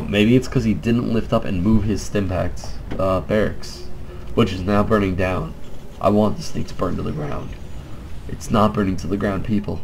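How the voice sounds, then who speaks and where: A young man with a stuffed-up nose, giving mellow, quiet commentary on a strategy game with joking asides. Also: fairly low pitch, vocal fry, slight background noise. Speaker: game caster. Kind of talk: laid-back game commentary.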